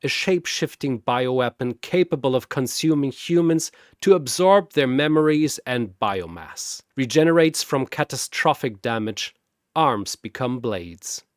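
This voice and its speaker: A man giving scripted story narration in a dramatic manner with wry, deadpan asides. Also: medium pitch, measured pace, no echo, studio quality.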